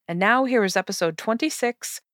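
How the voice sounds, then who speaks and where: A woman's voice: a little high, fairly quick, a bit bright, dry recording, clean studio sound. A woman reading a prepared introduction as the host of a podcast.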